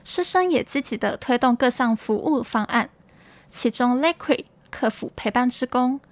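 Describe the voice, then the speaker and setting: A young woman reads scripted news in Taiwanese-accented Mandarin. Her voice sits a little high. The sound is very dry, with no room around it. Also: moderate pace, no room sound. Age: young adult. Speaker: student news presenter. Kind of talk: scripted campus news reading.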